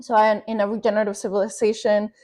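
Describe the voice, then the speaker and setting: A woman's voice: mid-range voice, flat delivery, fast, darkish tone. A woman from Venezuela, a researcher guest on a podcast, giving a long reflective answer with many fillers and restarts.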